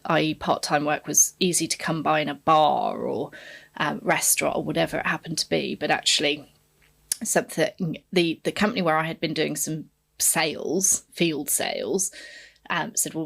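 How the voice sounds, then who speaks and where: A woman's voice: sits low, gravelly, a bit bright. A woman in her forties from Kenya, a podcast guest candidly reflecting on her career path. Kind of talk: podcast interview, telling her life story.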